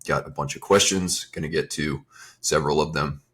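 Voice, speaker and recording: A young adult man hosting his own fitness podcast, talking in a relaxed, rambling way with a slightly raspy, strained voice. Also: very deep voice, normal speed. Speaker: podcast host and fitness coach. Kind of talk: casual solo podcast talk.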